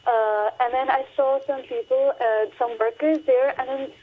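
A woman's voice: high-pitched, steady tone, moderate pace, a little hiss or hum. A young woman, a recent graduate chatting earnestly as a radio guest, with lots of fillers and restarts. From Hong Kong. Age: young adult. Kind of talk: radio interview. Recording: muffled phone line.

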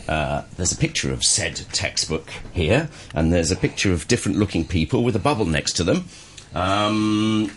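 A man's voice: lowish voice, slight background noise. A man hosting a morning radio talk show in a chatty, jokey way. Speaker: radio host.

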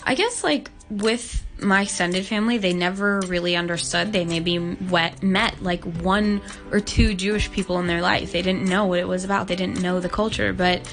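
Nineteen-year-old woman, low, thoughtful, faint music. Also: moderate pace, few pauses. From Hong Kong. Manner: earnest, thoughtful, many "like" fillers. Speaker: podcast guest. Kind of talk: casual interview answers.

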